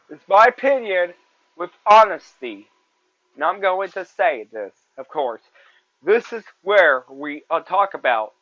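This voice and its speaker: Young man in his twenties, podcast host; high, bright voice; rambles and repeats himself; dry, muffled lo-fi recording. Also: dry recording. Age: 20s. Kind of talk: solo movie review podcast.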